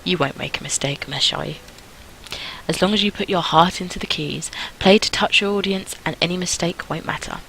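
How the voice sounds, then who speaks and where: Girl doing a courtly nobleman, gentle and formal, soft coaxing talk, crisp bright tone, bit of noise. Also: lowish voice, fairly quick, poor audio. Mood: fond reassurance.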